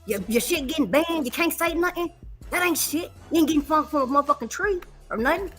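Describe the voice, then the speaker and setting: Woman, forties, heated debate, profane, emphatic, repetitive, bright forceful voice. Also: sits high, animated, fast talker, faint music behind. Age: 40s. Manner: emphatic, profane, repeats phrases for stress.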